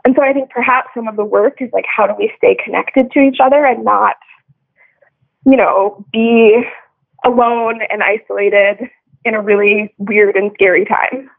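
A woman's voice: medium pitch, fairly even intonation, moderate pace, creaky, dry recording. An American woman talking casually and reflectively in a discussion, informal and full of conversational hedging words, over a phone-like connection. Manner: informal, peppered with "like" and "you know". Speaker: podcast discussion participant. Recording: thin, dry phone-line audio.

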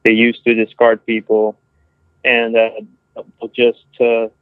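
Monotone man on a dull lo-fi recording. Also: mid-range voice, no echo. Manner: halting, searching for words. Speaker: discussion participant. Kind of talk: casual reflective discussion. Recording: dull lo-fi, dry radio-like sound.

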